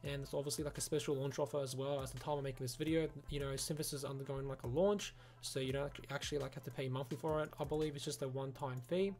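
A man giving a casual, rambling software review, often saying "you know" and "like". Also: mid-range voice, steady tone, fast talker, few pauses. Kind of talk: casual screen-recorded review. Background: background music.

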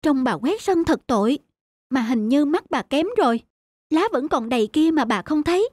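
An actress playing an eager, polite young student in a cartoon, speaking earnestly. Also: female voice, sits high, lively intonation, normal speed, darkish tone. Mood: puzzled, concerned. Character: eager, polite young photography students visiting their teacher. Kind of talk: animated moral-tale dubbing. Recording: clear music behind the voice.

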